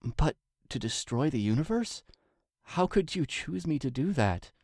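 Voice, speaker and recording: A man's voice: a little high, big pitch swings, measured pace, a little mellow, no echo, clean studio sound, voice pushed up, heavily acted. A man voicing an anguished young female pony princess in a dramatic story reading, pleading urgently and indignantly. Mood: hurt, pleading. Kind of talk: dramatic fan fiction reading.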